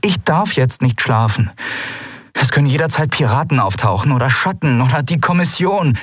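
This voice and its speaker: A man voicing an earnest, tired young woman captain who pleads softly. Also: mid-range voice, expressive, normal speed, husky, no room sound, clean studio sound, pitched above the performer's own voice.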